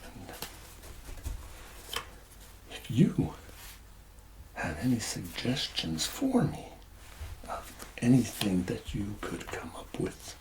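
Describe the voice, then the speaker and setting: A man doing a soft-spoken ASMR roleplay as a tax collector, hesitant and conspiratorial, often dropping into whispers. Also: lowish voice, very animated, takes it slowly, pauses often, background noise.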